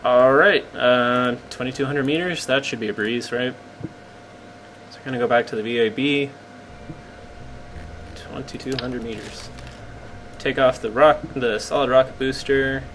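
A man with a slightly croaky voice casually commenting on his gameplay, rambling and poking fun at himself as he explains. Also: medium pitch, steady tone, measured pace, long pauses, a bit bright, slight background noise, rough recording. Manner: rambling, self-deprecating, explains as he plays.

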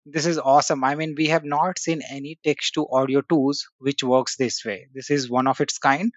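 Filler-heavy man walking through software. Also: mid-range voice, level tone, moderate pace, no room sound, muffled recording. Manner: casual, frequent "uh" and "you know" fillers. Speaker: tech tutorial host. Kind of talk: screen-share software walkthrough.

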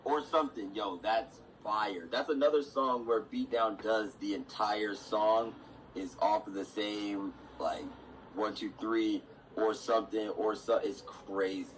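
A man's voice: fairly low pitch, steady tone, measured pace. A man hosting a podcast, chatting casually in an informal, slangy way. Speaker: podcast host. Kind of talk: casual chat. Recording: clearly noisy background ambience.

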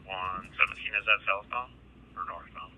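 A man's voice: low-pitched, fairly even intonation, normal speed, sharp tone. A man speaking as an emergency responder in terse two-way radio calls. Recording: tinny, very noisy scanner radio.

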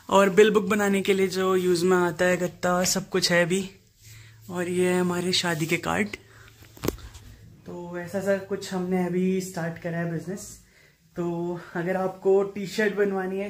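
A man, giving an informal vlog tour, chatting straight to viewers. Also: high voice. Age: 20s. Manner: informal, conversational, addressing viewers. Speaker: vlogger. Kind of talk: casual vlog tour narration. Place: home rooms, courtyard and small shop. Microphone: phone at arm's length. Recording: handheld vlog with clear background noise.

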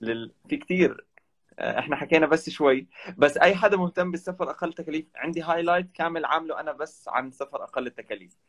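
Fast-talking man guest. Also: fairly high pitch. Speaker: travel vlogger guest. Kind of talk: casual live chat. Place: indoor room. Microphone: video call, webcam.

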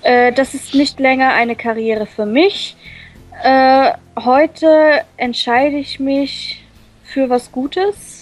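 A woman reading a visual novel aloud and translating it on the fly, halting and full of fillers. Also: high-pitched, fairly even intonation, faint music behind, poor audio. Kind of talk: casual let's-play reading.

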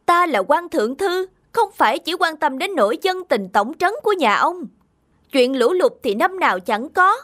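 A woman voicing a self-serving male court minister in an animated folk tale, formal and self-important. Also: high-pitched, normal speed, no echo, clean studio sound. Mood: haughty. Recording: faint music underneath.

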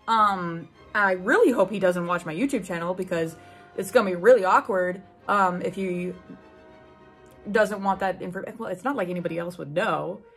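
Expressive young woman, incredulous casual commentary. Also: medium pitch, slightly rough. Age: 20s. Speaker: book YouTuber. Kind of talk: casual reaction commentary while reading aloud. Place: small room at home with bookshelves.

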